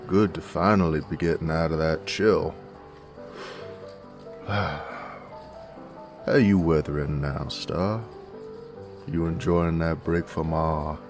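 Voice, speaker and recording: Male actor as male werewolf bounty hunter, low gravelly voice, unhurried American cowboy drawl, folksy, coarse, sardonic, Western audio drama. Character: gruff, teasing male werewolf bounty hunter of the Old West. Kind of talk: Western audio roleplay addressed to the listener.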